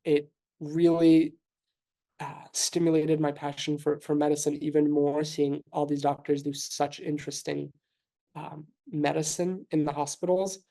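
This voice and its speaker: A man in his thirties from New York City, with Russian as his first language, talks through his answers in a thoughtful, measured way, often pausing on fillers and restarting his sentences. His voice has a gravelly, mellow texture. His pitch stays flat and even. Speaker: physician guest. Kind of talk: conversational interview answers.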